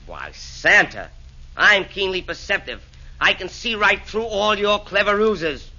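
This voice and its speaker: A man's voice: high voice, pushed and bright, exaggerated voice. An actor playing a boastful, blustering henchman of the devil, declaiming in theatrical verse in a 1940s radio play. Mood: dramatic. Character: boastful rhyming devil's henchman, would-be assassin. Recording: dull lo-fi 1940s radio recording.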